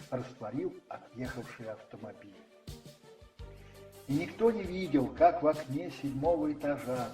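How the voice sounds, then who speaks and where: A man narrating a radio play dramatically from a script, in measured phrases with pauses. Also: mid-range voice, warm tone. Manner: measured, pausing between phrases. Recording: roomy echo, distant, faint music behind.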